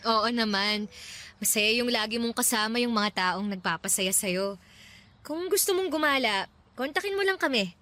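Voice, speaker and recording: A woman's voice: on the high side, animated, normal speed, sharp tone. An actress playing a friendly, teasing young woman who sings in a band, with a Filipino accent, in radio drama style. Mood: friendly, cheerful. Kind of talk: Tagalog radio drama acting.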